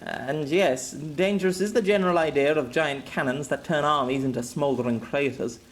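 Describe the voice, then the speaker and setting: Gruff wry commander, brusque orders, sardonic, as a male narrator plays him. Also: a little high, normal speed, natural voice.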